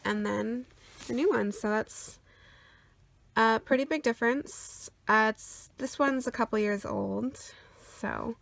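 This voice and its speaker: A woman's voice: a little high, takes it slowly, vocal fry, fairly bright tone. A sniffly woman rambling casually with lots of ums and uhs as she shows her sketchbook. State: sniffly after a cold. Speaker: artist showing her sketchbook.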